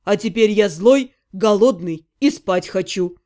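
A woman's voice: medium pitch, measured pace, dark tone, pitched below the performer's own voice, close to an everyday voice. A woman voicing a sleepy, grumpy young male necromancer who grumbles and teases. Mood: grumbling. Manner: grumbling, drowsy, teasing.

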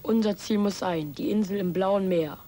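A woman's voice: medium pitch, normal speed, dark tone, lightly acted. A woman playing a child who pretends to be a wise chief, speaking in a solemn, mock-grand, ceremonial manner in an audio drama. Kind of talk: children's radio play acting.

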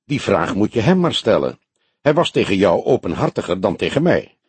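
A man narrating plays a grizzled old police detective for an audiobook. The voice is husky and swings freely in pitch, with a shrewd, mocking, deliberate delivery. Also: very animated, darkish tone, dry recording, full character voice. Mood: shrewd, measured.

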